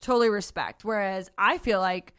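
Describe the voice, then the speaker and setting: Woman, casual chat, deep voice, swears a lot, animated retelling. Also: normal speed, clear, resonant tone. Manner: swears freely, acts out quotes in put-on voices.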